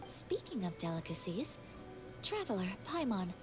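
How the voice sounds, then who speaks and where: A woman voicing a gentle, refined young noblewoman, formal, polite and a little shy, in an anime video game. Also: medium pitch, sing-song, normal speed, over music, natural voice. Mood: polite, pleased. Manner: formal, courteous, delicate.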